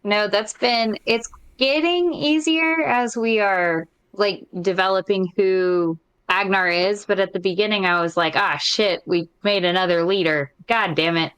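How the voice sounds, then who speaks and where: A woman with a clear, smooth voice at an average pitch chats casually on a gaming podcast. She is candid and swears freely. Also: unhurried, few pauses, a bit bright, dry recording. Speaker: podcast cast member and player. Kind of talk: casual chat.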